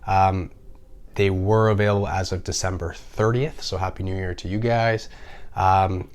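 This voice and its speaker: Low-voiced, slightly raspy man. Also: steady tone, normal speed. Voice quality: slightly raspy from a recent cold. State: getting over a cold, slightly raspy voice. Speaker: personal finance video host. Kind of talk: casual informational vlog talk.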